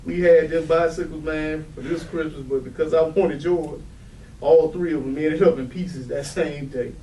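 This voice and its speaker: An actor in a staged play reading, playing a weary, protective older brother who is quietly resolute and plain-spoken. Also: male voice, fairly high pitch, fairly even intonation, normal speed, close to an everyday voice. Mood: tender. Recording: roomy, distant mic, faint background noise.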